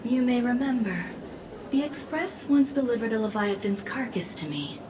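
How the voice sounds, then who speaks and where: An actress playing a cool, secretive woman scholar in video game story dialogue, deliberate and enigmatic, with music behind her. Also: fairly high pitch, lively intonation, noisy background, close to an everyday voice. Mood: intrigued. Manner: deliberate, enigmatic, dramatic pauses. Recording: music under the voice.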